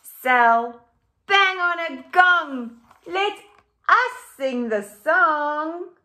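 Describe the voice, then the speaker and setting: A woman's voice: high-pitched, lively intonation, drawn-out words, bright, forceful tone, pitched above the performer's own voice, exaggerated voice. A woman voicing bossy but friendly grizzly bear bandmates in a children's storybook, calling out emphatically. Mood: encouraging. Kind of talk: children's storybook read-aloud.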